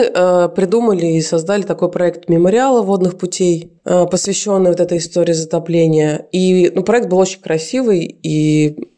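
A woman, a foundation director, speaking as a guest on a radio interview, earnest and hesitant with many pauses and fillers. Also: fairly low pitch, mellow.

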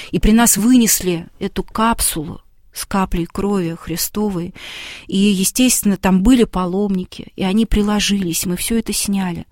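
A woman guest on a talk show, telling a story and acting out the lines of the people in it. Her voice sits at an ordinary middle pitch and sounds dry and close, with no room sound around it. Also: no echo. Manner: lively storyteller who acts out quoted dialogue. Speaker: guest, television documentary maker.

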